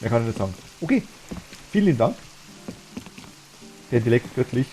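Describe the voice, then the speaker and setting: A man's voice: medium pitch, very animated, fairly quick, dark tone, low-quality recording. A man with a Bavarian accent chatting casually and enthusiastically while streaming a game, laughing easily.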